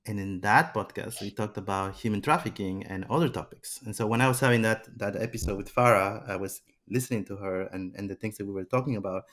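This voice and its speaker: A man's voice: on the low side, quick pace, few pauses, a bit bright. A man hosting a podcast, talking in a loose, rambling way with many restarts. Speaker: podcast host. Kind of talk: casual podcast chat.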